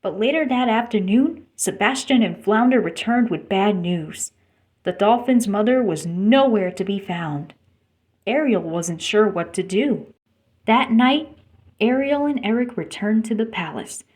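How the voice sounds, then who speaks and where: A woman's voice: mid-range voice, expressive, a little slow, darkish tone. A woman reading a children's storybook aloud as narrator. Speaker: storybook reader.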